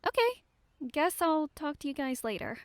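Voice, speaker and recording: A woman's voice: sits high, sing-song, normal speed, no room sound, clean studio sound. A woman playing a perky, eager and nosy young female head camp counselor in a horror audio drama, speaking inquisitively. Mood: hurt. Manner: bubbly, inquisitive.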